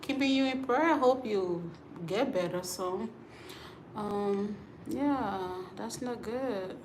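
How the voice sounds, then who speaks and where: A woman's voice: medium pitch, roomy sound, a little hiss or hum. A woman from Liberia, hosting a casual livestream chat and answering viewer comments. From Liberia, West Africa. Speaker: livestream host. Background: storm and rain outside.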